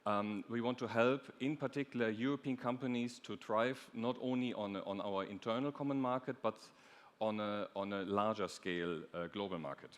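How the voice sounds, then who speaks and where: A man's voice: fairly low pitch, moderate pace, smooth. A man giving a conference presentation as an official, hesitating and repeating words mid-sentence. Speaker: European Commission official, panelist. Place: large conference stage. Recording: echoey hall.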